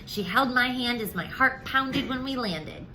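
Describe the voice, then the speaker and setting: A woman with a bright, forward voice reads a picture book aloud to children. Her delivery is animated and expressive, acting out the dialogue. There is clear background noise on the recording. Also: a little high, unhurried.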